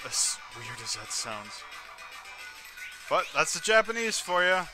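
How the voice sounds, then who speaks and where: A man with a slightly high voice hosts a casual let's play over clearly audible game music. He describes what happens on screen in a rambling way, often doubling back to correct himself. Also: sing-song, measured pace. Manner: rambling, self-correcting, narrates on-screen action. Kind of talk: casual video game commentary. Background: video game music.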